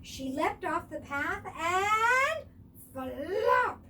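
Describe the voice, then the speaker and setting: A woman storyteller reads aloud for children, heard a little away from the mic. Her voice is high and very lively in pitch, and she takes her time, narrating expressively. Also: drawn-out words, far from the mic, a little hiss or hum.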